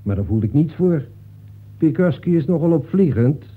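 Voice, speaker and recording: An actor in a Dutch radio play, playing a talkative, breezy detective from a novel who nags in a familiar way. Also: male voice, on the high side, expressive, moderate pace, breathy edge. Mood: indignant. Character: talkative fictional detective come to life, chummy, reproachful. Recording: muffled phone-like old radio recording.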